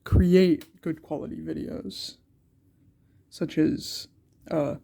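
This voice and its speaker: Young man narrating an art vlog while stuffed up from a cold; slow, gravelly, mellow delivery, halting and unsure. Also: a little high, steady tone. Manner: hesitant, many ums and repeated words. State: congested from a cold. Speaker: art channel creator.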